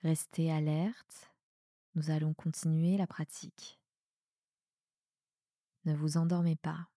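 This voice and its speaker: Calm lady doing guided yoga relaxation, soft voice, really unhurried, close dry sound. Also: low-pitched, dry recording. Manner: calm, soothing, measured phrasing with pauses. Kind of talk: guided meditation, relaxation instruction.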